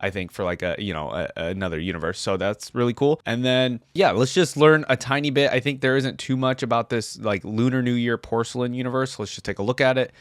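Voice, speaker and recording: A man's voice: mid-range voice, steady tone, fairly quick, few pauses, no room sound, studio quality. A man hosting a game reaction channel, giving informal, easygoing, chatty commentary.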